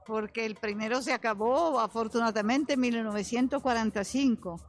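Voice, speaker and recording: An older woman from Italy speaking Spanish as a second language with an Italian accent, halting as she searches for words in a radio interview. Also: on the high side. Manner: halting non-native phrasing, searching for words. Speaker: interview guest, novelist. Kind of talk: political conversation in a radio interview. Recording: music under the voice.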